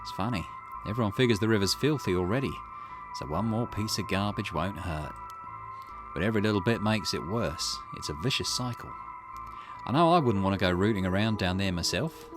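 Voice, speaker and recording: A man voicing a reflective woman in a visual novel, telling a grim tale in a measured, wistful way over music. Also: fairly low pitch, animated, lightly acted. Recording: loud music behind voice.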